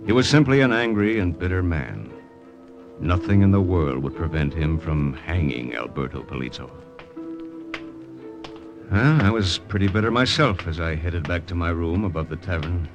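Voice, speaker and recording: Male lead: hard-boiled American agent, deep voice, understated delivery, vintage radio thriller with strong music behind. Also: animated, moderate pace. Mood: brooding. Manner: terse, understated. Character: wary, hard-boiled American secret agent narrating his mission. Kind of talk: old-time radio narration and acting.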